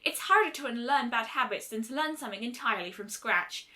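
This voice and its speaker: Woman voicing a brash, high-pitched young pegasus pony. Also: animated, fairly quick, bright, forceful tone. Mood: earnest, frustrated. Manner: blunt, emphatic, slangy. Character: brash, stubborn young female pegasus pony, proud and protective. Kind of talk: character voice in a story reading.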